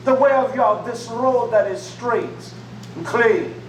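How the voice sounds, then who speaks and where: Man, preacher, sermon, slow emphatic phrasing, distant mic, faint background noise. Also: extremely high pitch. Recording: echoey room from far off with faint noise.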